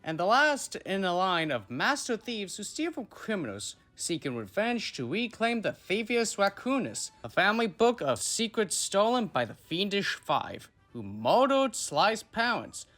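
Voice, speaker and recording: Male guest commentator, bright and lively-pitched, casual explainer narration. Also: unhurried, few pauses, soft background music.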